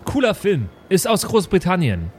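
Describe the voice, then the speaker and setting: Man playing a naive, lively-pitched trusting fellow. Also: slight background noise. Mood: earnest, puzzled. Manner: halting, earnest.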